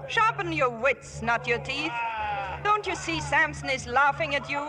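A sly, goading young temptress. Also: female voice, high voice, expressive, measured pace. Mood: sly scorn. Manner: cool, goading, insinuating. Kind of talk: 1950s radio drama acting. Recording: 1950s radio broadcast with music.

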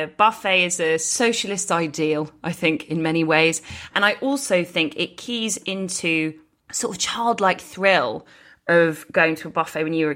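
A woman's voice: low-pitched, a bit bright. A woman with a British accent, co-hosting a podcast in a casual, conversational style. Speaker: podcast host.

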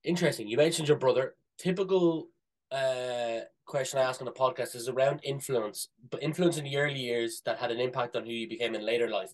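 Man hosting a business podcast, medium pitch, easygoing question style. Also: steady tone, brisk, poor audio. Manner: friendly, loosely phrased questions. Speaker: podcast host. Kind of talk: interview questions.

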